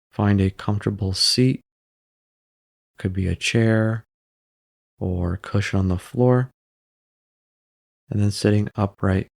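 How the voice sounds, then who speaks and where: A man narrating a guided meditation in a calm, unhurried way, pausing between short phrases. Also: on the low side, vocal fry, no echo, clean studio sound.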